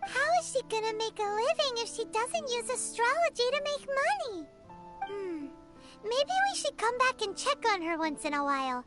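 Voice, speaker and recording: A woman voicing a tiny, excitable female fairy companion in a video game who talks about herself in the third person. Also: very high voice, moderate pace, music in the background.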